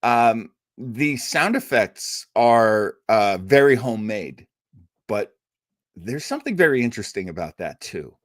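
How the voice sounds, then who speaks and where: A man's voice: on the low side, lively intonation, a little slow, dry recording, clean studio sound. A man speaking as a radio show host, giving a casual, rambling live introduction like an enthusiastic, chatty storyteller. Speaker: host of an old-time radio listening show.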